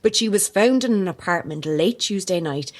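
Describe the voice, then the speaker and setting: A woman playing a sharp-witted, persistent investigative reporter in an audio drama, with an articulate, probing manner. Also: lowish voice, lively intonation, normal speed. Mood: pointed, confrontational. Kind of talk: naturalistic audio drama acting.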